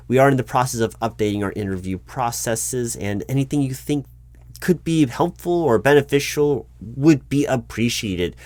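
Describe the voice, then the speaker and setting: A man's voice: mid-range voice, darkish tone, dry recording. A man speaking aloud in a one-sided job interview roleplay as a polite, officious recruiting manager, in a formal and wordy corporate way.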